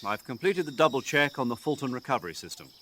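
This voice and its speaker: An authoritative middle-aged military commander with a mid-pitched voice. He briefs formally and earnestly in a video game, explaining each point, over faint background noise. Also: very animated, fast talker, fairly bright tone. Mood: earnest briefing.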